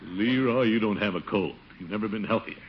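An actor playing a pompous, doting middle-aged uncle with a blustery, chuckling delivery in 1950s radio comedy style. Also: male voice, lowish voice, fairly quick, natural voice. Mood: dismayed. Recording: muffled, hissy old radio recording.

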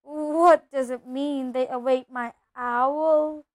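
A woman's voice: high voice, animated, takes it slowly. A woman voicing a curious, modest young boy in a storybook, hesitant and full of questions. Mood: bewildered, eager.

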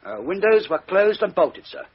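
A deferential sergeant. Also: male voice, high voice, sing-song, normal speed, husky, highs cut off, exaggerated voice. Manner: deferential, reporting.

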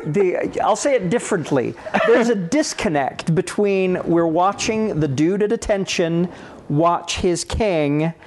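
Plain-spoken man with a slightly higher voice. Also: lively intonation, a little slow, a little mellow. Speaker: podcast co-host giving critique. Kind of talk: casual critique discussion.

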